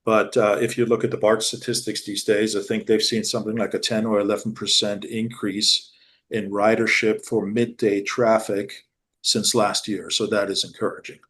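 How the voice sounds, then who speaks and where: Middle-aged man, lowish voice, measured business talk, guest in interview. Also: level tone, fairly bright tone, slight background noise. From Germany. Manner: measured, businesslike, frequent "uh" fillers. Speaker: commercial real estate managing director, guest.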